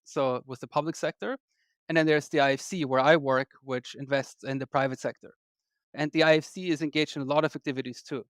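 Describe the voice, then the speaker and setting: A man, a podcast guest explaining his work in a measured, polite way. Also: fairly high pitch, clean tone, no room sound, studio quality. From Austria. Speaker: guest investor. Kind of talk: podcast interview.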